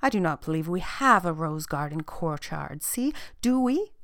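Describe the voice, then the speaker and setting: A woman's voice: sits low, sing-song, moderate pace, fairly bright tone, dry recording, clean studio sound, full character voice. A woman voicing a vague, unhelpful fairy-tale queen in a formal, politely detached manner.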